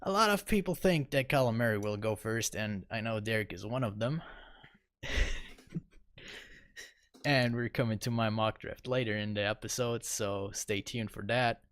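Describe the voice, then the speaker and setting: A man's voice: on the low side, normal speed, fairly bright tone, dry recording. A young man co-hosting a sports podcast, giving casual, rambling analysis with lots of fillers. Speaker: podcast co-host.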